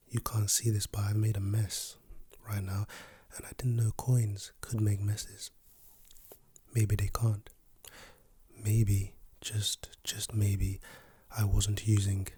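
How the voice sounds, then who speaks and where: A man with a lost, hoarse voice, speaking softly and whispering in a playful, teasing way as an ASMR creator. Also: on the low side, little pitch movement, slow. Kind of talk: soft-spoken and whispered ASMR.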